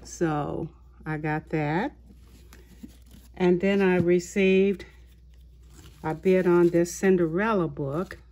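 A woman hosting a haul video of her craft purchases, talking in an unhurried way with many small pauses and fillers. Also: lowish voice, steady tone, warm tone. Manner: slow, unhurried, halting with fillers. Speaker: craft channel host. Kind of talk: show-and-tell haul video. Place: indoors at a tabletop, voice-over of hands.

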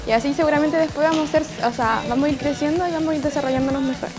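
A twenty-one-year-old Chilean woman talking in a rushed, self-aware way in an interview. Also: high voice, fairly even intonation. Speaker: pageant contestant. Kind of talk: casual interview. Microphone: handheld mic. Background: music.

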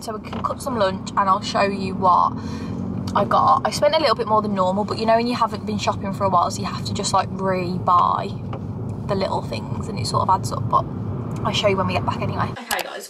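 Female vlogger, twenties, English accent, informal and chatty, mid-pitched, recorded with clear ambient noise behind her. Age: 20s. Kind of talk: casual chatty vlog to camera. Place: parked car, later shop, moving car, kitchen.

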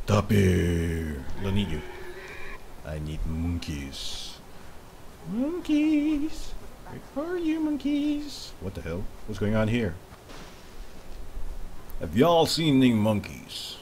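A man's voice: on the low side, big pitch swings, takes it slowly, long pauses, a little mellow, a little hiss or hum. A young man from Malaysia doing casual let's-play commentary, joking, swearing and laughing at the game. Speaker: gaming video host. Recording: music clearly under the voice. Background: game music and vehicle sounds.

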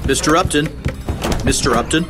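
An actor playing a gruff, skeptical police officer with a put-on Irish accent in an audio drama. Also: male voice, a little high, moderate pace, loud music behind the voice, close to an everyday voice. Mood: concerned.

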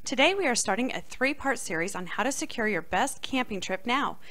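A woman's voice: on the high side, animated, a little fast, pushed and bright. A middle-aged woman co-hosting a podcast, reading scripted segments into a close microphone. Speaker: podcast co-host. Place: small studio set indoors. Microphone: close boom-arm microphone.

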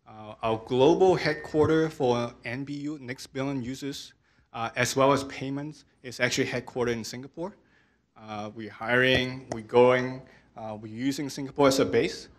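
A man's voice: medium pitch, a bit bright. A man, speaking in a friendly way with many fillers as a panelist, into a handheld microphone. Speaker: engineering lead, panelist. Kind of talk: panel talk. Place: stage of a large hall with audience. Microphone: handheld mic.

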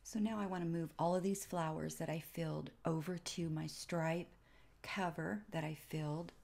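A woman giving casual step-by-step narration for a software tutorial, pausing between short phrases. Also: sits low, steady tone, vocal fry. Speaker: tutorial host.

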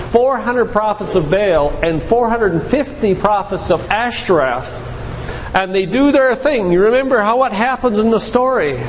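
High-voiced older man preaching in echoey hall. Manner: didactic storyteller, addresses listeners directly. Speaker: physician giving a guest talk at a church. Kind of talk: sermon-like lecture retelling Bible stories. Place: large room, church. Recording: reverberant hall with clear background noise.

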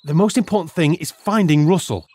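An actor playing a middle-aged teacher, once an archaeologist, who leads his students with a steady, commanding voice. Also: fairly high pitch, brisk, slightly rough. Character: teacher Mr. Clark, retired archaeologist, calm leader. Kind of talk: audio drama acting.

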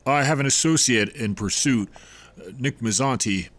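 A man's voice: medium pitch, normal speed, fairly bright tone, close to an everyday voice. A secretive researcher who chooses his words carefully, played by a man. His voice sits in a plain middle range in this audio drama.

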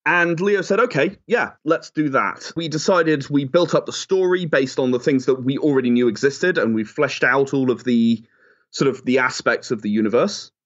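A man's voice: mid-range voice, moderate pace, fairly bright tone. A man giving chatty, enthusiastic, emphatic answers as a guest in a conversational interview. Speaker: interview guest, game creator. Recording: dull, lo-fi audio.

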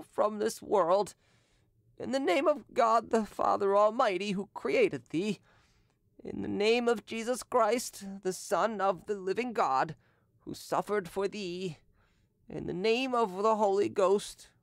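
Falsely pious murderer, man, read by a woman, hushed solemn prayer, dry close sound. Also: mid-range voice, takes it slowly, dry recording, voice pushed up. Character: scheming, falsely pious male poisoner.

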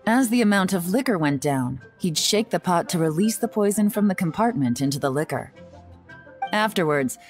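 Woman acting shrewd young female spy, low voice, cool and measured, video game dialogue, soft music behind. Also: close to an everyday voice. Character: shrewd, composed young woman intelligence agent.

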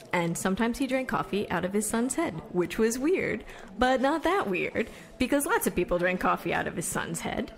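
A woman's voice: a little high, gravelly. Chatty young American woman, fame-hungry storyteller. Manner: chatty, self-deprecating, casual asides. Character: chatty young Somerville woman set on becoming famous. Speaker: actor in an audio drama. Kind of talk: conversational stage storytelling monologue.

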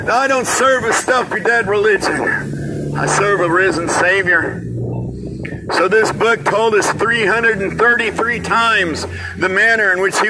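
An older man preaching loudly to passersby on a city street corner, recorded by a camera from across the road. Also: high-pitched. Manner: loud, proclaiming to passersby. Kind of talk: open-air street preaching. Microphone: distant camera across the street. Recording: traffic noise from far off. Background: passing cars and trucks.

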